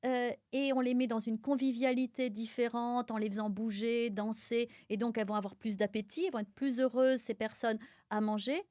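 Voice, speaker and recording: A woman's voice: on the high side, moderate pace, no room sound, clean studio sound. A middle-aged French woman from Auvergne, a pharmacist guest explaining nutrition in a podcast conversation with many fillers. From France (Auvergne). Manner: explanatory, lots of fillers, animated. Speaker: guest, pharmacist and micronutrition expert.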